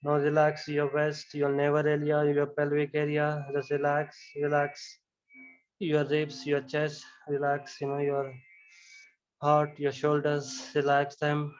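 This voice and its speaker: Monotone man guiding a yoga relaxation. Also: on the high side, dull audio. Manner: slow, repetitive calm instructions with frequent "you know". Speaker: yoga instructor. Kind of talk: guided relaxation and chanting.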